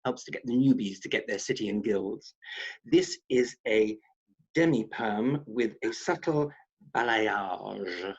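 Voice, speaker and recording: Husky, glib doctor played by a man. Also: fairly low pitch, expressive, takes it slowly, exaggerated voice. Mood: flippant. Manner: glib, patronising. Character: flippant, officious male hospital doctor, pompous and joking. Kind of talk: scripted table read. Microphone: video call. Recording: dull, muffled video-call audio.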